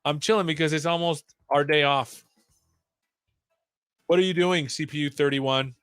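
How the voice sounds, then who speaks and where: A man's voice: a little high, fairly even intonation, moderate pace, a bit bright, clear, resonant tone, no room sound, studio quality, soft background music. A man hosting a casual livestream, rambling playfully with jokey asides. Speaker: live show host. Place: own room at home.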